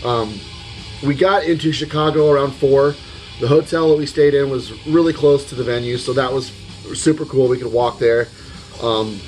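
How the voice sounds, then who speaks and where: A man with a slightly hoarse voice giving a casual vlog recap, rambling and hesitant, with the odd casual swear word. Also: mid-range voice, a little mellow, low-quality recording. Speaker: music vlogger. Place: at home. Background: metal music playing in the background.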